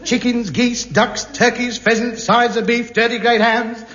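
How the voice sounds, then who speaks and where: A man's voice: extremely high pitch, level tone, a little slow, fairly bright tone. An actor playing a pompous, self-deluding man in a 1950s radio sitcom, delivering grandiose, indignant lines. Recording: muffled old radio broadcast with hiss.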